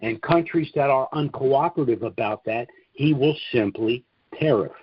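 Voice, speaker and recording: A husky-voiced man presents an informal briefing on a conference call. His voice sits a little low, and he sets out his points in deliberate chunks with weighty pauses. The audio is dull and lo-fi, with very little room around it. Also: no room sound.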